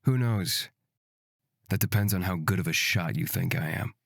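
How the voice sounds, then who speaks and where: A woman acts out a bloodthirsty, cocky male warrior from a fantasy visual novel. The voice is deep and rough-husky, recorded close and dry. He drawls his taunts with smug menace. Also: moderate pace, no room sound, heavily acted. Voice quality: low, husky. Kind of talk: visual novel character reading.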